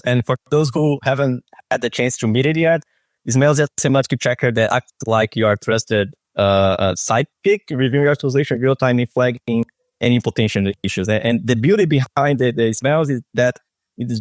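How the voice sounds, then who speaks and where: A man in his thirties with a Brazilian Portuguese accent, a native speaker of Portuguese, walks through a software demo on a video call. He often restarts and repeats words. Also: mid-range voice, no room sound. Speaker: product specialist guest. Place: office with shelves. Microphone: webcam on video call.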